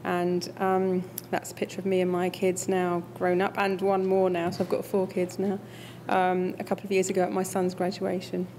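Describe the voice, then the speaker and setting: Flat-voiced woman speaker. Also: lowish voice, moderate pace, hardly any pauses, a little hiss or hum. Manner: relaxed and conversational, many fillers. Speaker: invited speaker. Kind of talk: closing a talk, answering audience questions.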